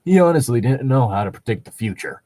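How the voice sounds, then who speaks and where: A man's voice: mid-range voice, very animated, quick pace, mellow, no echo. A man co-hosting a casual, irreverent read-aloud of fan fiction, bantering crudely and swearing freely between lines. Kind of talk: casual banter and mock dramatic reading.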